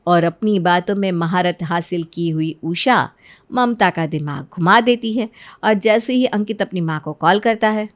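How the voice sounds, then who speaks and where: Low-voiced woman narrating a story. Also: moderate pace, no echo.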